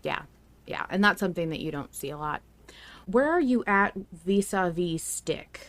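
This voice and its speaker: Casual podcast host, woman, reflective and laughing easily, lowish tone, dry close sound. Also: lively intonation, a bit bright, no echo. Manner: chatty, reflective, quick to laugh. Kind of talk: casual fan podcast chat.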